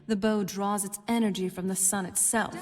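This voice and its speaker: An actress voicing a young-looking female vampire companion in a fantasy game, dry, knowing and measured. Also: mid-range voice, level tone, a little mellow, close to an everyday voice. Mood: thoughtful. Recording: hall reverb, faint music behind.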